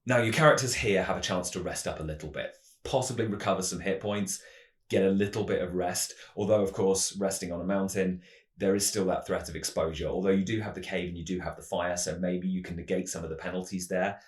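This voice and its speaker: A man narrating a fantasy tabletop adventure tutorial as a game master, with measured, dramatic storytelling phrasing. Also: low voice, fairly even intonation, echoey room. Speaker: host, game master giving adventure advice.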